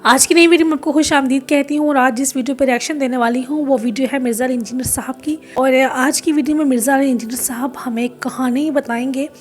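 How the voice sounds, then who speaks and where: A woman's voice: high voice, steady tone, fast, hardly any pauses, faint music behind. A woman hosting a reaction video, addressing viewers with courteous, devout greetings and formal phrasing.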